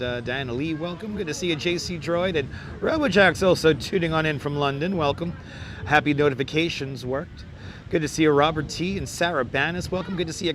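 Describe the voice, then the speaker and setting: A hoarse, crackly-voiced man, pitched a bit high, chatting outdoors as he greets his livestream viewers by name. Faint traffic and city sounds drift behind him on a clip-on mic. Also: fairly bright tone. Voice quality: crackly, squeaky. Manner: reels off viewer names with repeated welcomes. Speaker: livestream host. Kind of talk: casual live chat greeting viewers. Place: outdoors on a beach boardwalk, city street sounds. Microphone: wireless clip-on mic. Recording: outdoor phone livestream with street noise. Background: faint traffic and city ambience.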